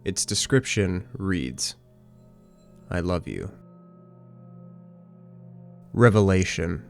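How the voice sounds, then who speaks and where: A man narrating a scripted analytical video essay in a measured, conversational, explanatory way. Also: sits low, animated, vocal fry. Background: background music.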